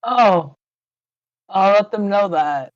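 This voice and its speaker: A man, feeling unwell, speaks slowly as a player in a tabletop game. The sound is dull and lo-fi, with a dry closeness. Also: high-pitched, lively intonation, no echo. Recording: dull, muffled lo-fi mic.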